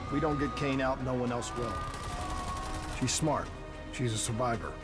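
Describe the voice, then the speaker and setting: An actor playing a determined, loyal soldier who stays steady under pressure in a video game cutscene. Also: male voice, mid-range voice, moderate pace, over music, natural voice. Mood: resolute.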